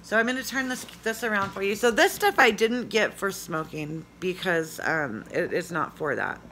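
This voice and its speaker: A hoarse woman in her forties chatting casually in a home vlog, reading product labels aloud and rambling with fillers. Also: medium pitch, expressive, normal speed, a bit bright, a little hiss or hum. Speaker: vlogger. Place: small indoor room at home. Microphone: phone camera at arm's length.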